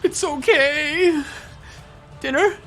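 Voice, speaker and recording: A man's voice: extremely high pitch, very slow, fairly bright tone, soft background music, heavily acted. A man playing a flirtatious, joking male elf bard in a fantasy audio drama. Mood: pained.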